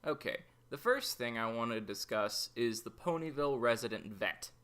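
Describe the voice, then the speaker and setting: A man hosting a review show, giving opinionated episode commentary in a deliberate way. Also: medium pitch, animated, a bit bright. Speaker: host of an animated series review show.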